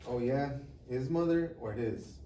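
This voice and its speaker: A man's voice: mid-range voice, measured pace, mellow, close to an everyday voice. Man acting a curt border agent, echoey room. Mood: suspicious. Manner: curt, authoritative questioning. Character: young Border Patrol agent, stern, suspicious, sarcastic. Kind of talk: live table read of comedy script. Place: live reading in a room. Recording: echoey room, distant mic, faint noise.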